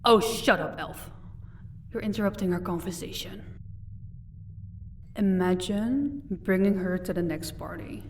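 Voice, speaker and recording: A woman's voice: mid-range voice, a little slow, long pauses, darkish tone, far from the mic, natural voice. Proud female vampire captor for audio drama, played by a woman: commanding, dry sarcasm, off-mic sound with faint noise. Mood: irritated. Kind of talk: audio roleplay acting.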